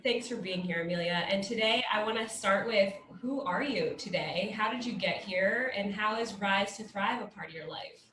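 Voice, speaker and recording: A woman's voice: mid-range voice, normal speed, few pauses, echoey room, noisy background. A woman hosting a podcast interview, friendly and a little teasing with her guest. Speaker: podcast host.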